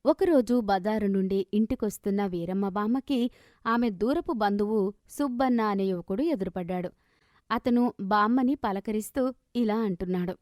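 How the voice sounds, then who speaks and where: A woman tells a scripted moral tale as its narrator. Her voice sits at a middling pitch for a woman, and the recording is dry and close. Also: normal speed, a little mellow, dry recording. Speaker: story narrator.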